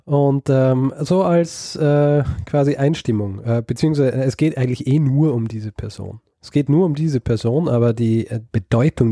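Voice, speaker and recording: A man's voice: mid-range voice, warm tone, dry recording, studio quality. A man telling a story in casual chat, hesitant and full of ums and restarts. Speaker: podcast host telling a story.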